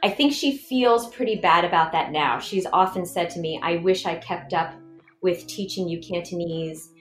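A woman's voice: on the low side, moderate pace. Upbeat woman speaking in a roomy space. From Washington, D.C. area, USA. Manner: effusive and upbeat. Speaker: performer, director and choreographer. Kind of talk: first-person audio portrait narration. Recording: roomy sound with faint music behind.

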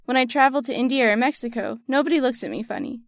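A young woman with a General American accent reads her own essay, earnest and reflective. Her voice sits a little high. It is recorded close and dry, with no room sound. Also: big pitch swings, quick pace, no echo. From United States. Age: young adult. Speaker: student essayist. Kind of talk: personal essay narration.

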